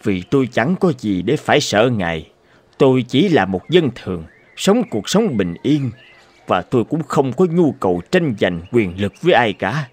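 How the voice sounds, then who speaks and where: An actor playing a humble, wise old townsman in a cartoon, speaking in a calm, respectful, measured way. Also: medium pitch, husky. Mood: serene. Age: older.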